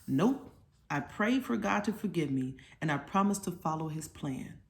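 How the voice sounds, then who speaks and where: A woman's voice: low-pitched, lively intonation, normal speed, vocal fry. A woman voicing an eager young boy in a storybook, dramatically retelling his part in a Bible play. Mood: eager, dramatic.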